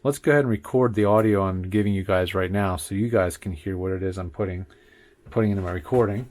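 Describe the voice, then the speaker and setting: Chatty man testing his studio mic. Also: sits low. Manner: relaxed, chatty, frequent mic checks and counting. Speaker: tech reviewer. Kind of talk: casual tutorial walkthrough. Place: home studio at a desk. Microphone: condenser mic on a desk arm. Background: faint computer hum.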